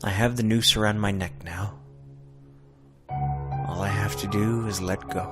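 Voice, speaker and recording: A man's voice: lowish voice, steady tone, unhurried. A man narrating a first-person horror story in a subdued, somber, despairing way. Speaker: creepypasta narrator. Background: music.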